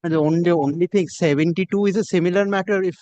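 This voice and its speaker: A man's voice: sits high, fairly even intonation, fast talker, warm tone, no room sound, muffled recording. A man, a lawyer making spontaneous submissions to a judge, deferential and often repeating his words. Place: courtroom.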